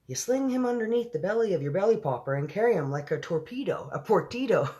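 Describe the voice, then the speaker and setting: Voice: medium pitch, lively intonation, fast talker, a little mellow, voice pushed up, close to an everyday voice. A storybook reader voicing a big friendly male giant who speaks in mangled grammar and nonsense words. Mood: eager.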